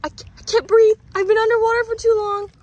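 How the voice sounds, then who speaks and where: A woman's voice: extremely high pitch, level tone, fast, a little mellow, highs cut off, voice pushed up. A woman voicing a young mermaid princess doll in toy play, with melodramatic, exclamatory delivery. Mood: panicked. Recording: outdoor beach noise.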